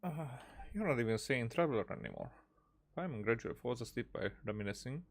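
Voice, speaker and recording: A man's voice: medium pitch, animated, brisk, vocal fry. A man giving casual live commentary as a game streamer, reading dialogue aloud with hesitant, musing asides.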